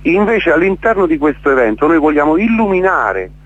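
An impassioned man explains his project in a radio interview, his fairly high voice moving up and down with great animation. He stresses and repeats key words as he goes, emotionally involved. The radio sound is somewhat dull and lo-fi. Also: sing-song, a little fast. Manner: impassioned, emphatic, repeats words, checks with "capito?". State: emotionally worked up. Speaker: event organiser being interviewed.

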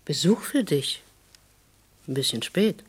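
An actress playing a resolute, caring wife and protective neighbour in a German radio play, firm and matter-of-fact. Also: female voice, sits low, sing-song, takes it slowly, breathy edge, full character voice. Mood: probing, calm.

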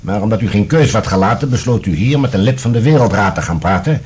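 Military intelligence chief, deep-set pitch, heavily husky, composed, formal, firm, radio play. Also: male voice, expressive, darkish tone. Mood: measured, guarded. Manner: measured, formal, courteous but firm. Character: composed, authoritative male general, head of security service. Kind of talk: Dutch radio drama acting.

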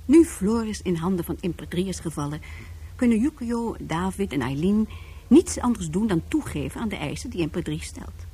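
A woman's voice: lowish voice, animated, normal speed, warm tone, breathy edge. A woman narrating a science fiction radio drama from a script.

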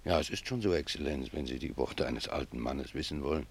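Very deep, gravelly old gardener. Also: male voice, heavily acted. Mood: pensive, devout. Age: older. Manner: reflective, deferential, unhurried phrasing. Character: humble, pious old gardener of a statesman's residence. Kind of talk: German radio drama acting.

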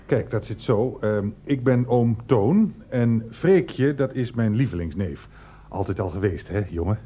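An actor playing an old, doting uncle in a radio comedy, rambling fondly and repeating himself. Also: male voice, on the low side, expressive, a little slow, mellow, lo-fi sound. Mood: fond, proud. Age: older. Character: doting, chatty old uncle with fond memories. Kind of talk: 1990s radio comedy drama acting.

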